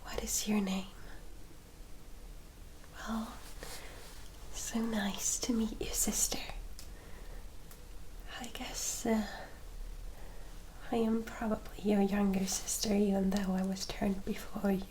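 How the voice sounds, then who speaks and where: A woman playing a polite, excitable young vampire sister in an ASMR roleplay, in a soft breathy whisper with gentle, hesitant, formal speech. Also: mid-range voice, takes it slowly, long pauses, slight background noise, lightly acted, poor audio. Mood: shy, explaining.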